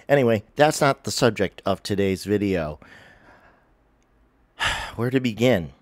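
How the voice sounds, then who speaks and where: A middle-aged man talks to his webcam from his living room, sounding stuffy and sniffly from a head cold. His voice sits very low, and he rambles along with frequent um's and self-corrections. The dry sound is close, with no room echo. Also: animated, unhurried. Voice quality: nasal, sniffly. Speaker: spiritual vlogger. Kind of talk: casual talking-to-camera vlog.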